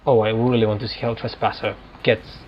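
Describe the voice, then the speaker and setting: A man hosting a gaming playthrough, commenting casually in a halting way as he searches for words. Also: fairly low pitch, level tone, crowd noise. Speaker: gaming video host. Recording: dull sound, faint background noise.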